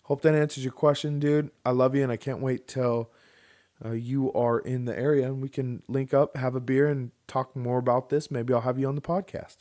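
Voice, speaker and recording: A man's voice: mid-range voice, little pitch movement, normal speed, a little mellow. A man in his thirties hosting a casual podcast, talking in a rambling, frank way with some mild swearing. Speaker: podcast host.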